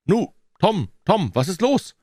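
A man voicing an anxious, excitable young boy who speaks in urgent exclamations in a storybook. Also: lowish voice, brisk, no room sound, clean studio sound. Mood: alarmed.

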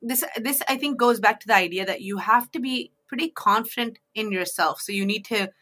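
A woman's voice: fairly high pitch, a little fast, bright, forceful tone. A woman hosting a two-person discussion, giving informal, emphatic advice in a conversational way.

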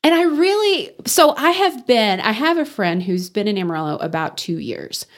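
Loud, emphatic Texas-raised woman. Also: mid-range voice, sing-song, normal speed. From Texas Panhandle. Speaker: interview guest. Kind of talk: radio interview conversation.

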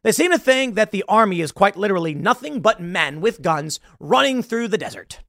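A man's voice: high-pitched, big pitch swings, a little fast, pushed and bright, no room sound, clean studio sound. A man in his late thirties hosting political commentary, reacting to a video with sarcastic, mocking remarks. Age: 30s.

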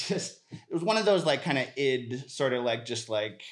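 A man chatting casually on a comedy podcast in a halting, mock-intellectual way. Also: medium pitch, lively intonation. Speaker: podcast panelist. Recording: roomy, echoing podcast recording.

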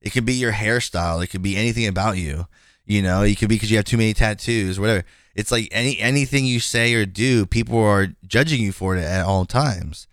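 A man's voice: on the low side, brisk, fairly bright tone, dry recording. A man in his twenties hosting a casual podcast conversation, speaking frankly and rambling with many fillers and restarts. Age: 20s. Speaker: podcast host.

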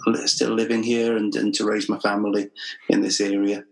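Low-voiced Welsh man, modest. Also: little pitch movement, normal speed, gravelly, rough recording. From Wales. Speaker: guest. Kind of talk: podcast interview.

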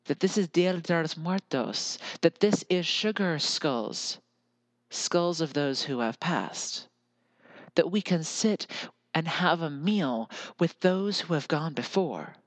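An earnest American podcaster muses alone into the mic and slips into lyrical, repeating phrases. The voice sits at a middle pitch, and the recording is very dry, with no room sound. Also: expressive, takes it slowly, no echo. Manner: earnest, musing, drifts into lyrical repetition. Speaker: podcast host. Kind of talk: reflective solo podcast monologue.

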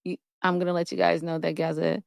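An American woman co-hosting a relationship podcast, bantering and telling stories in a candid, playful, animated way. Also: fairly low pitch, fast, gravelly, no room sound, clean studio sound. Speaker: podcast co-host. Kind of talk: casual relationship banter and storytelling.

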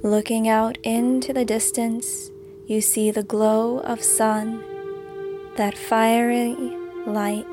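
Female meditation guide, calm and soothing, very unhurried delivery, slightly high voice. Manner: calm, soothing, deliberate phrasing. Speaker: meditation guide and podcast host. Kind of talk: guided meditation, scripted narration. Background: music behind the voice.

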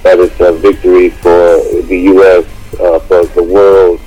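An American man co-hosting a radio show, giving casual political commentary haltingly, often repeating himself as he searches for words. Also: deep voice, level tone, mellow, soft background music, poor audio.

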